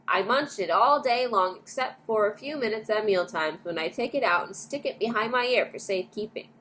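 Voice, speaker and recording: A woman voicing a loud, brash, boastful young girl who chatters away as a storybook character. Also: mid-range voice, natural voice. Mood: boastful, excited. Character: loud, boastful, fast-talking gum-chewing young girl. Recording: clear background noise.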